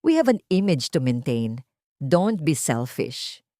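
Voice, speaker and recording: A woman's voice: low-pitched, very animated, slow, dark tone, no echo, clean studio sound, voice pushed down, full character voice. A woman voicing a cold, selfish young male CEO in a drama story, dismissive and condescending.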